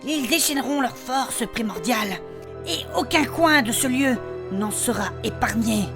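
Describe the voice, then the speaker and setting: A woman's voice: fairly high pitch, expressive, unhurried. A woman playing a bold, protective lance-wielding adventurer in a fantasy comedy audio drama. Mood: solemn reading. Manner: urgent, exclaiming. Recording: music behind the voice.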